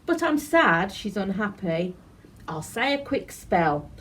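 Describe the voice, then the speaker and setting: A woman's voice: medium pitch, sing-song, slow pace, a bit bright, breathy edge, exaggerated voice. A woman voicing a cheerful, helpful little tooth fairy in an eager, sing-song way for a storybook. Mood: caring, puzzled.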